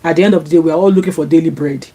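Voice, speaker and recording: A woman's voice: sits low, very fast, mellow, breathy edge. Chatty Nigerian woman reading comments. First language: Yoruba. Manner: chatty, frequent fillers and restarts, rises when indignant. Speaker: vlogger reading viewer comments. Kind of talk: casual live-stream commentary. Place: home, sunny Glasgow. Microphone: laptop.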